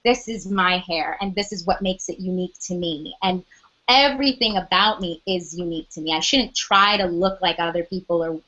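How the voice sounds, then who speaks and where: A young adult woman with a loud speaking voice, chatting animatedly as an interview guest, full of "like" and quoted asides. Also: on the low side, normal speed, lo-fi sound. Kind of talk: casual interview chat.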